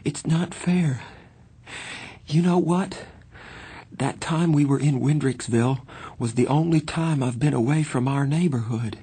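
A man voicing a badly injured, frightened sixteen-year-old street boy in an audiobook, weak and breathy, with halting, slangy speech. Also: mid-range voice, a little mellow, no echo, voice pushed up. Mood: scared, despairing. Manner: halting, drawling working-class slang.

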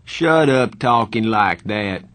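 Protective street-tough teen boy, acted by a male reader, husky, slangy and emphatic, for novel dialogue. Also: on the low side, takes it slowly. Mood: fierce. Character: tough, protective older teenage boy, scolding and good-natured.

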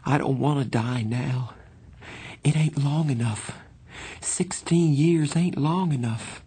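Man voicing a weak, frightened teenage boy, higher-pitched. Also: a little mellow, no echo. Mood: scared, despairing. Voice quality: weak, breathy, strained. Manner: halting, drawling working-class slang. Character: badly injured frightened sixteen-year-old street boy, soft-spoken.

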